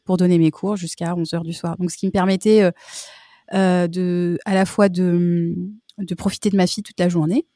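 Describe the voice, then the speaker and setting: A woman's voice: on the low side, level tone, normal speed, mellow, no room sound. French woman, 30s, casual guest, hesitant delivery, dry recording. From France. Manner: hesitant, many fillers and restarts. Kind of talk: casual conversation.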